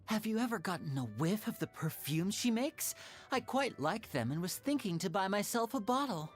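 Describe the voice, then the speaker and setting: A woman's voice: medium pitch, big pitch swings, moderate pace. A woman voicing a composed young performer in a fantasy video game. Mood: wry, mild. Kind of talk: video game character voice line. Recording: music behind.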